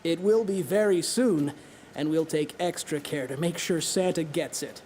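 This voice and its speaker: A man's voice: fairly high pitch, moderate pace, a little mellow, a little hiss or hum, lightly acted. A man voicing a friendly, helpful mailman in a children's cartoon, kindly explaining things. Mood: kindly, reassuring. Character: friendly, helpful postal worker showing a child around.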